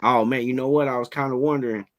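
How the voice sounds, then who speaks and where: A man hosting a casual livestream interview, talking in relaxed slang, a little raspy from a head cold. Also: mid-range voice, fast talker. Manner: laid-back slangy hype, fillers like "you know what I'm saying".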